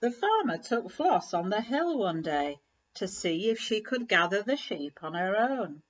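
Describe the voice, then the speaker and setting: Woman, children's story reader, unhurried, animated intonation, slightly lo-fi sound. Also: mid-range voice. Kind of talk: expressive children's storybook read-aloud. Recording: slightly dull, lo-fi recording.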